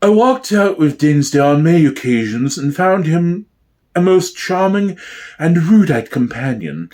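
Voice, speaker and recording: A man's voice: fairly high pitch, darkish tone, lightly acted. Man as a pompous, genteel female impersonator. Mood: admiring. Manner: refined, pompous, precise. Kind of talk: comedy sketch dramatic reading.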